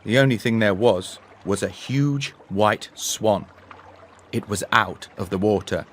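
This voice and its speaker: A man reading a children's story in a measured, deliberate, dramatic way. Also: lowish voice, a little hiss or hum. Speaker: story narrator. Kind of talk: expressive scripted storybook narration for learners.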